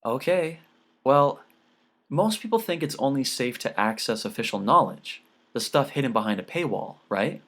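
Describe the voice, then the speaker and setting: A man's voice: medium pitch, expressive, moderate pace, fairly bright tone. A man voicing an earnest teenage daughter who explains things patiently in an audiobook story.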